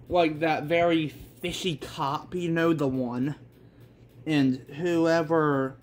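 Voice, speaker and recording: A man's voice: a little high, slight background noise. A man reading a story aloud in an amateur way, with rambling, informal asides and some swearing. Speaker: reader of a self-made manga story. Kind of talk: amateur story read-along with casual asides.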